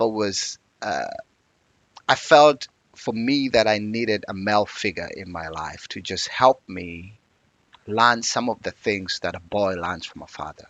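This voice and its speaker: A man's voice: lowish voice, a bit bright, dry recording. A man, telling his life story as an interview guest in a reflective, earnest way. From Uganda.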